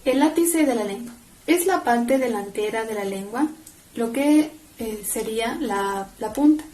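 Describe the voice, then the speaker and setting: A woman's voice: on the high side, expressive, unhurried, mellow. A young adult woman giving an explanatory class presentation as a student, in an echoey recording. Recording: echoey room sound.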